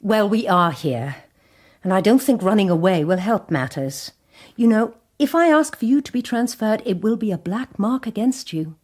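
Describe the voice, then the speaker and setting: An actress playing a young Sister Superior who talks in a firm, clipped and commanding way, and who also narrates. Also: female voice, medium pitch, lively intonation, normal speed, a little mellow, lightly acted.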